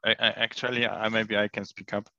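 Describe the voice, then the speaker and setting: Guy on a video call, bright forceful voice, stumbles and repeats words, dry muffled sound. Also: fairly low pitch, little pitch movement, a little fast, creaky, dry recording. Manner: hesitant, repeats words, light laughs. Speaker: scout and pre-sales for medtech companies. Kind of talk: casual online meeting chat.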